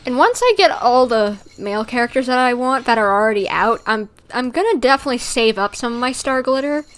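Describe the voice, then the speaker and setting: A woman with a high-pitched voice gives casual commentary on a game livestream. She is chatty and excitable, and her pitch moves around in a very lively way. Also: fairly quick.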